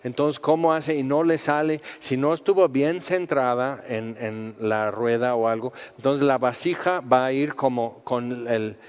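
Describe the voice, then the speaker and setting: A man's voice: medium pitch, fairly even intonation, measured pace, hardly any pauses, smooth. A man preaching a sermon, talking conversationally and asking rhetorical questions. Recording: muffled audio with long hall reverb.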